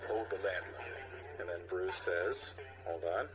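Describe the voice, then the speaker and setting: A man voicing a cop-show detective with a constricted, pinched voice and drawn-out deadpan lines. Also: deep voice, over loud music, voice pushed down. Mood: urgent. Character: TV cop with a tightly constricted, squashed-face delivery.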